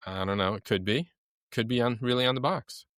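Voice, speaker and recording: A man's voice: mid-range voice, fairly quick, fairly bright tone. A man with a mid-range voice chats casually on a podcast, listing items and reading labels with dry humor. He is recorded close, with no room echo. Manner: wry, reads items off lists. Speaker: podcast co-host. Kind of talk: casual chat, reading packaging.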